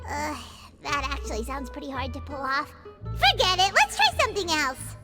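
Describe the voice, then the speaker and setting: A woman voicing a tiny, childlike, excitable fairy companion in a video game, with a squeaky, exclamatory delivery. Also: moderate pace, over music. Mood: puzzled, doubtful. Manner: refers to self in third person, exclamatory.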